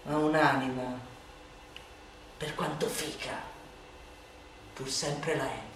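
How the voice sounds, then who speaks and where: Slow theatrical woman in an echoing space. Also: rumbling low, steady tone, noisy background. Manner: declamatory, emphatic, with dramatic swings. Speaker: stage and radio actress performing a literary monologue. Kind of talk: theatrical dramatic recitation of a satirical literary pamphlet. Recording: echoey room, distant mic, loud percussion music. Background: timpani and percussion music.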